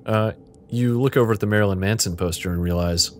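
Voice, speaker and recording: A man's voice: on the low side, fast talker, a little mellow. A man hosting as game master, narrating an improvised interactive fiction game and often chuckling as he goes. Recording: clearly noisy background.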